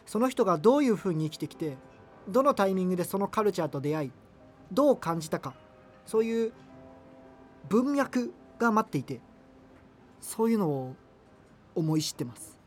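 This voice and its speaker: A man's voice: sits high, big pitch swings, brisk, long pauses, a little mellow. Awkward, earnest young man, music behind. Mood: confessional. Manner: hesitant, earnest, wordy. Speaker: actor in a radio drama. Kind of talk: naturalistic radio drama dialogue and monologue.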